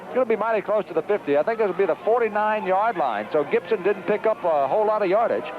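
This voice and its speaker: An American man calling live high school football play-by-play on the radio in an excitable, urgent way. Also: fast talker, music in the background. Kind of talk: live radio sports commentary. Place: outdoor football stadium. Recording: muffled 1970s radio broadcast, loud crowd noise. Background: loud stadium crowd and noise.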